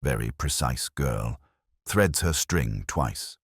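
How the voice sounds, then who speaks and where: A man's voice: a bass voice, expressive, slow, slightly rough, no room sound, studio quality, pitched below the performer's own voice, heavily acted. Man reading as a nervous, fussy records clerk. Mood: hesitant, informative. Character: thin, dusty, nervous male records clerk.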